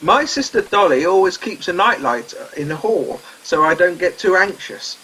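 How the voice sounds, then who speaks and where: A man playing a timid, anxious, gentle crewman in a comic radio play. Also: high voice, lively intonation, normal speed, slightly rough, heavily acted, rough recording.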